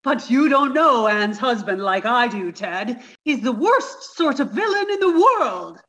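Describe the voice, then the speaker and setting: A woman's voice: a little high, big pitch swings, unhurried, fairly bright tone. A woman playing an anxious, scheming adulterous wife in an old-time radio comedy mystery. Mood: worried, urgent.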